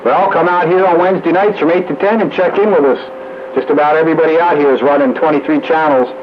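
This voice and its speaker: A man's voice: fairly high pitch, steady tone, fast talker. A man chatting casually over CB radio in folksy operator lingo, running a check-in net. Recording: muffled, noisy CB radio audio.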